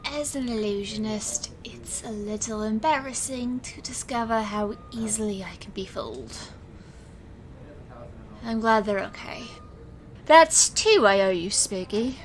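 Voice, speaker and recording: Audio drama illusionist, played by a woman: weary yet resolute, wry, slow delivery, fairly high voice. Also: lively intonation, a little hiss or hum. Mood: relieved, apologetic. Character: weary, resolute female illusionist and supernatural enforcer. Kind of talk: dramatic audio fiction acting.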